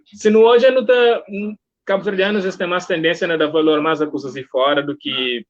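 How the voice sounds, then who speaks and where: Cape Verdean man interviewing, slightly high voice. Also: fairly even intonation, a little fast. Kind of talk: casual conversational interview. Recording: dull lo-fi audio.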